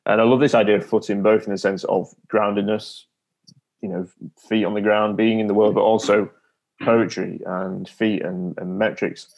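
A man's voice: lowish voice, little pitch movement, normal speed, a little mellow. A man working as an interviewer in a literary conversation, putting long, discursive, reflective questions.

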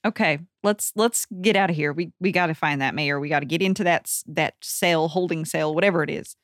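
A woman's voice: deep voice, a little fast, dry recording, clean studio sound. A woman taking part in a tabletop game as a player, chatting and improvising role-play casually.

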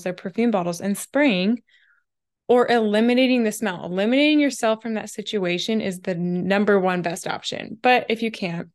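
A young woman in her twenties hosting her own podcast in a casual, chatty solo talk, her voice a little raspy and winded after a run, with lots of "like" fillers. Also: mid-range voice. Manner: chatty, filler-heavy with frequent "like". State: slightly raspy, winded from running. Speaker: podcast host.

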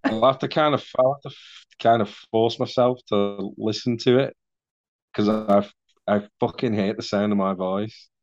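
A man in his forties with a Mancunian accent that has worn softer over the years. His voice sits fairly low and sounds dry and close. He chats casually, pokes fun at himself and swears now and then, over a dull, lo-fi video-call line. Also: fairly even intonation, normal speed, no echo. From Manchester, England. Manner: self-deprecating, chatty, swears casually. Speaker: podcast guest.

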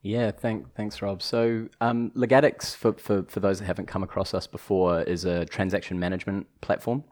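A man in his thirties with a New Zealand accent, chatting as a podcast guest, often restarting and pausing with fillers. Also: low-pitched, little pitch movement, a little mellow. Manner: hesitant, many restarts and fillers. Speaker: podcast guest, chief commercial officer. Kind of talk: casual podcast conversation.

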